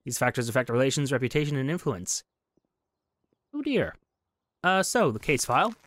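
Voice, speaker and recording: A man's voice: mid-range voice, animated, fast talker, a bit bright, dry recording. A man hosting a game playthrough, giving casual, musing commentary with wry asides into a close microphone. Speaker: gaming video host. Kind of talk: casual game playthrough commentary, reading on-screen text aloud. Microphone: close microphone, voice-over.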